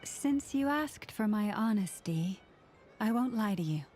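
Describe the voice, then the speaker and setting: A woman playing a gentle, devout antlered young woman in a video game, soft-spoken, measured and earnest. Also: medium pitch, animated, faint music behind, natural voice. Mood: sincere, wistful.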